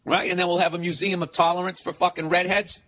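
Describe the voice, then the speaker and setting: A man in his forties rants alone on his podcast, swearing constantly and piling on sarcasm. His speaking voice sits high for a man. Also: little pitch movement, quick pace, pushed and bright. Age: 40s. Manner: profane, ranting, sarcastic. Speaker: podcast host. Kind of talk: solo comedic rant.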